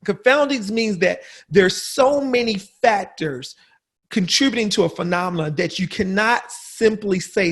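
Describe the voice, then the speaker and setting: A man on a panel discussion, talking emphatically in a husky, gravelly voice and laughing at his own wordplay. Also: sits high. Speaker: guest panelist.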